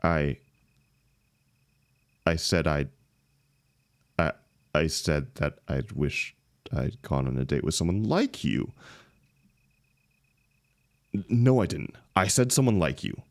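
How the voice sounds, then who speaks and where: Very deep, gravelly young heartbreaker, acted by a man. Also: lively intonation, no echo, heavily acted. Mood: flustered, resigned. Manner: casual, halting, emotional, swinging emphasis. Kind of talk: intimate one-sided audio roleplay.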